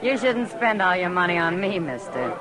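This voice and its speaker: An actress playing a tough but kindly, sharp-tongued saloon woman in a Western radio drama, firm and plain-spoken. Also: fairly low pitch, big pitch swings, normal speed, a crowd in the background.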